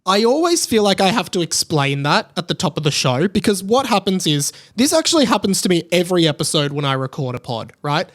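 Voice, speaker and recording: A man's voice: sits high, very animated, a little fast, hardly any pauses. An Australian man hosting a solo podcast in a casual, rambling way, often interrupting himself and drifting into tangents. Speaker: podcast host.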